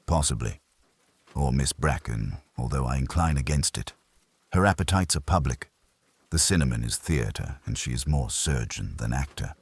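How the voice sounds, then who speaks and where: A man voicing an imperious, pedantic master detective who gives orders in formal, ornate phrasing with a husky low voice. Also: animated, moderate pace, dry recording, heavily acted. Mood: musing.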